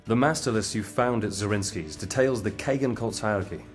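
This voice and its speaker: A practical secret-society agent, voiced by a man, with a lowish voice and brisk, matter-of-fact delivery. Music plays behind him. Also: lightly acted.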